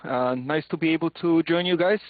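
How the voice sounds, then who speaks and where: A man in his forties from Poland with a Polish accent shaded by English, chatting on a video call and pausing often on fillers. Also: on the high side, no echo. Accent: Polish, with some English. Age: 40s. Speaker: new club member. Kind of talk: casual video call chat. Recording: tinny call audio.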